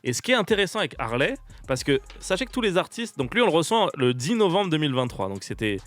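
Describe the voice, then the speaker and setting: A man's voice: fairly high pitch, very animated, a bit bright. A young French man hosting a radio show, chatting casually and laughing often. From France. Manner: laughs often, jokey and fast-talking.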